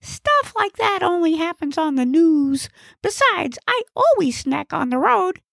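Give this the sound of a very high-pitched, wildly swooping furry male creature played by a woman. He is dim, food-obsessed and blissfully oblivious, mangling his words like a child. The recording is dry, for a comic storybook. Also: very animated, measured pace, vocal fry, fairly bright tone, no room sound, exaggerated voice. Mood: blithely unconcerned. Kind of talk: comic audiobook character acting.

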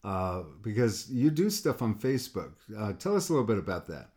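A man's voice: lowish voice, sing-song, darkish tone. Encouraging male interview host. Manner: friendly, encouraging, halting with restarts. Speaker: host, coach. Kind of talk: interview conversation.

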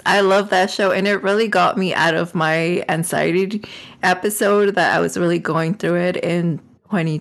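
Woman with a gravelly low voice. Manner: relaxed, candid, with filler "like". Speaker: podcast co-host. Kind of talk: casual chat.

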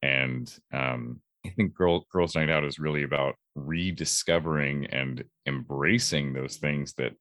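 A man giving a reflective interview as a voice actor, thoughtful and measured, often halting as he picks his words. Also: very deep voice, fairly bright tone, no echo, studio quality.

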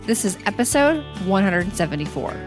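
A woman's voice: mid-range voice, very animated, brisk, over music. A woman hosting a podcast, reading a polished intro and then asking interview questions in an upbeat way. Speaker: podcast host.

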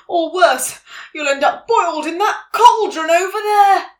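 Woman doing an anxious, high-pitched storybook frog. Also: a little slow, roomy sound, distant mic. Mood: urgent warning. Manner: urgent, loud warning. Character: anxious male frog warning of danger.

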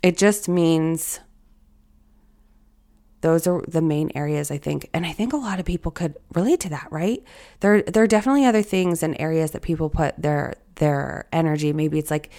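A woman in her thirties hosting a solo podcast, her voice raspy and hoarse, talking in a reflective, encouraging way straight to her listeners. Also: sits low, fairly even intonation, moderate pace, a little mellow. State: hoarse from allergies or illness. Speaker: podcast host.

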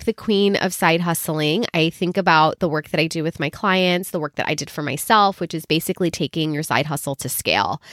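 A woman in her thirties hosts her podcast in a chatty, rambling way, full of fillers. Her voice is nasal and a little scratchy from a cold, pitched fairly low for a woman. The sound is dry and close, with no room around it. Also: no room sound. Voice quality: slightly scratchy. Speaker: podcast host. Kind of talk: casual solo podcast chat.

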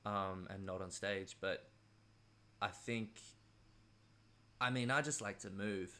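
Male interview guest, low but bright and forward voice, conversational, meandering and hesitant delivery. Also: slow.

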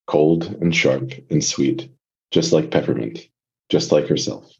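Very deep, husky man, dull recording. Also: a little slow. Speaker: guest story reader. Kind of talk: narration and casual discussion.

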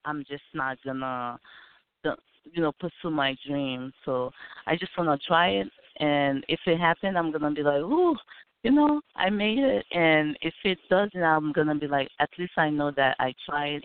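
A woman's voice: low voice, smooth. A woman with a Haitian accent answering interview questions in a hesitant way, with many fillers and restarts. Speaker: interview guest, aspiring model. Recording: phone-like narrow sound.

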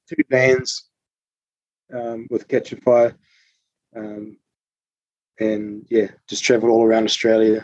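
A relaxed man chats as a musician guest, drifting through stories with plenty of ums. The sound is dry and a little dull, with no room around it. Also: mid-range voice, dry recording. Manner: relaxed storytelling with frequent ums and swearing. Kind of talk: casual interview chat. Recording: slightly dull dry recording.

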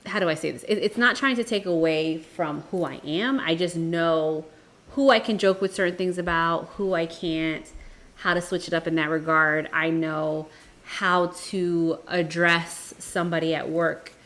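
A woman co-hosting a casual debate podcast, laughing often and shifting between quick and drawn-out phrasing. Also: on the low side, a bit bright. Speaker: podcast co-host.

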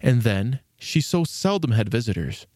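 Male host-narrator for children's classics: theatrical storyteller, mid-pitched, dry recording. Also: very animated, moderate pace, darkish tone, no room sound.

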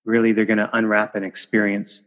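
A man in his forties hosts a podcast with a lowish, steady voice that hardly rises or falls. He tells stories in a relaxed, reflective way, letting his lines trail off softly. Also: brisk. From Windsor, Ontario, Canada. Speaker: podcast host. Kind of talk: casual interview chat.

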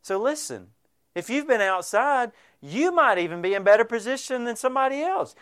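A man's voice: very high-pitched, very animated, normal speed, fairly bright tone, clear, resonant tone. A male pastor preaching a sermon, earnest and direct, addressing the congregation with frequent rhetorical questions.

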